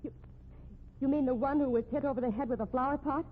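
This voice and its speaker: An actress playing an earnest, flustered sleuth's companion in a 1950s radio drama, hesitant but properly spoken. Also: female voice, sits high, quick pace, narrow, tinny audio, natural voice. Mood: curious. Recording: band-limited old radio recording, faint noise.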